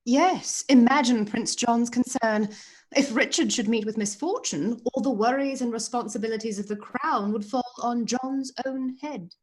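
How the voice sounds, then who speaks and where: Woman voicing a scheming princess, arch, mocking, silky, a bit high, radio play. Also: moderate pace, close to an everyday voice, rough recording. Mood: sly. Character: haughty, scheming princess, sly and charming.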